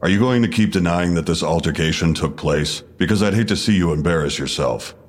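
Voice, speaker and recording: A very low male voice plays a stern police detective in an audio drama. He questions in a measured, probing way, with a sardonic needle in his delivery. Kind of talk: full-cast audio drama interrogation.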